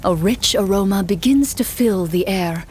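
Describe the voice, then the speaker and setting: A woman's voice: mid-range voice, normal speed, darkish tone, soft background music, natural voice. An actress playing a woman game master who narrates and voices townsfolk in a scripted video game. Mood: measured narration.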